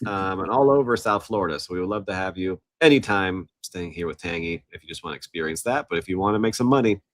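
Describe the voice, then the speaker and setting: A man co-hosting a business podcast in an upbeat, promotional way, throwing in the odd joke. His voice sits low and sounds dry and close, with no room around it, and he is coming down with a cold. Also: fast talker, no echo. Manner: upbeat, promotional, joking asides. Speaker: podcast co-host. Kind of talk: casual business podcast chat. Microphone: studio mic.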